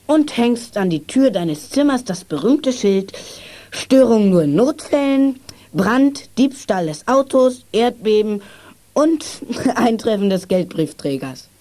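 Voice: medium pitch, sing-song, slow pace, mellow. A performer playing a wry, teasing youngster in a children's radio play, with a dry way of talking. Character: wry, teasing youngster, mocking the grown-ups.

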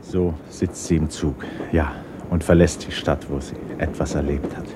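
A man narrating a literary radio play in a husky, measured, sombre manner. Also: very deep voice, steady tone, noisy background.

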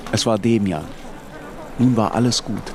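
A contemplative man looking back on his student youth , played by an actor narrates a radio play. His voice is fairly low and measured, with a literary turn. There is clear ambient noise behind it. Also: close to an everyday voice. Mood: reflective.

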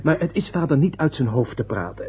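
An actor playing an earnest young son in a radio play, husky and curt as he reproaches his father. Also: mid-range voice, expressive, fairly quick, narrow, tinny audio. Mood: wry. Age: young adult.

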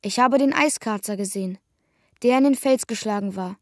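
An actress reciting a sober testimony of camp life in measured, matter-of-fact short phrases for a radio drama. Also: female voice, mid-range voice, dry recording, clean studio sound, lightly acted. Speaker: narrator. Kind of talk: literary first-person testimony, radio drama.

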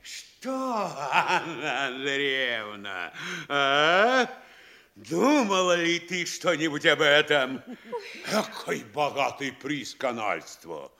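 A gloating provincial mayor in a classic comedy radio play. His voice is fairly high for a man and swoops widely as he blusters and exclaims. He takes his time, savoring each boast and threat. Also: sing-song, slow, pushed and bright, full character voice. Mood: gleeful wonder. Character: boastful, gloating provincial Russian town mayor, middle-aged family man. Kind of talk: classic Russian stage comedy audio play.

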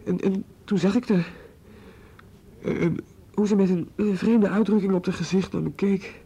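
Brief: remorseful young student, high and husky, halting and tearful, radio play. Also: male voice, steady tone, warm tone. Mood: wistful. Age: young adult. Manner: halting, stammering confession. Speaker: student questioned by police.

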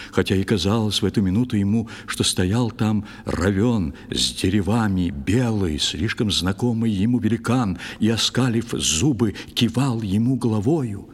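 A man narrating an audio play in scripted verse, with a theatrical, expressive literary delivery. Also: sits low, breathy edge.

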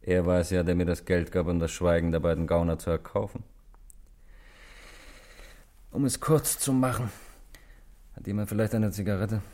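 An actor playing a calm, weary man confessing to murder in a 1960s German radio drama, measured and wryly resigned. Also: sits low, natural voice.

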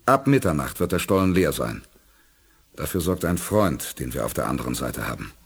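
An actor playing a decisive, self-assured space commander, composed and authoritative, in a 1980s German radio play. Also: male voice, a bass voice, big pitch swings, normal speed, creaky, breathy edge, heavily acted. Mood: confident.

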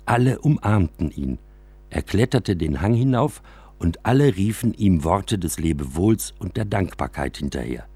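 A man narrates a first-person literary story for radio. His voice sits fairly low and has a husky grain. He tells it expressively, in a close, dry studio sound. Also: a little mellow, dry recording.

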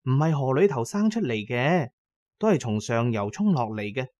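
A man's voice: medium pitch, sing-song, normal speed, darkish tone, dry recording, lo-fi sound, natural voice. Man voicing a folksy young village woman. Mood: folksy, eerie. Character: young village woman recounting eerie local lore.

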